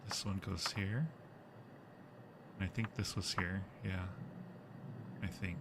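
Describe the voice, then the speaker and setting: A man's voice: deep voice, steady tone, slow, creaky, heavy background noise. A man casually commentating a horror game as a live streamer, joking and muttering to himself in a playful way.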